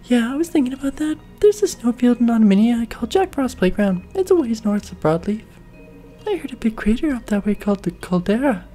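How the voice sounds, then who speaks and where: A man's voice: very high-pitched, very animated, a little fast, mellow, voice pushed up, heavily acted. A man reading in a raised voice as a folksy young adventurer. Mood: eager. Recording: faint game music behind.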